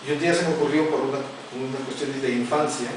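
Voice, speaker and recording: A middle-aged man from Peru's Amazon region, an author presenting his book and telling a story in a halting way, with many restarts and fillers. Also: medium pitch, steady tone. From Peru, Amazon region. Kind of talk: informal talk at a book presentation. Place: large echoey arts center hall. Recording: echoey hall from far off with noise.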